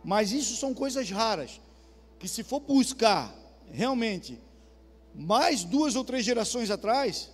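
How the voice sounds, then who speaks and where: Southern Brazilian male pastor, high voice, chatty preaching in a reverberant hall. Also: very animated, slow, breathy edge. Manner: conversational, asks the congregation questions. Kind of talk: sermon. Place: church hall. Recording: reverberant church hall with soft music.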